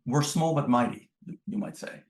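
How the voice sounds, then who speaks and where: A middle-aged man from the Netherlands, a native Dutch speaker, answers interview questions in a reflective, measured conversational way. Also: fairly high pitch, expressive, gravelly. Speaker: podcast guest, business executive. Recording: roomy, echoing sound.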